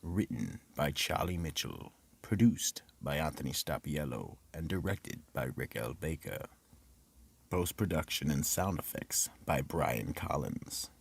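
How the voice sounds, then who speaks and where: A man's voice: a bass voice, very animated, measured pace, gravelly, slightly rough. A man narrating and announcing a scripted radio horror drama with dramatic, ominous storytelling.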